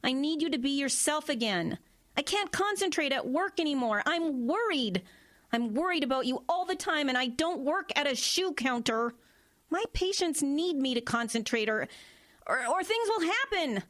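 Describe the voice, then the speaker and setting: Audio drama, worried wife, high voice, very animated, pleading, insistent, exasperated. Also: female voice, moderate pace. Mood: hurt, pleading. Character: worried, exasperated wife who works with patients.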